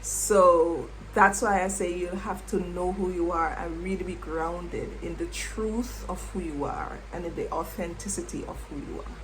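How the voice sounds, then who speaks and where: A middle-aged woman, a former English teacher, reflecting on her past in an unhurried way and repeating words as she thinks. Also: lowish voice, steady tone, a little hiss or hum, poor audio. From Jamaica. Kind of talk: reflective personal storytelling.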